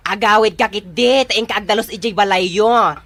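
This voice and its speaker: Woman acting a sassy Ilocano village storekeeper, touchy, scolding, theatrical, tense bright tone, mid pitch, audio drama. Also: normal speed, natural voice. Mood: irritated scolding. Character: sassy, easily offended camp village storekeeper.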